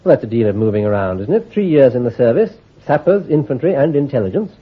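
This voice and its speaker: Crisp brit intelligence officer, drily ironic, telephone-thin old radio sound. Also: male voice, mid-range voice, sing-song, quick pace. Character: brisk, dry senior British army intelligence officer. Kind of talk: radio drama acting. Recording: tinny phone-like radio recording.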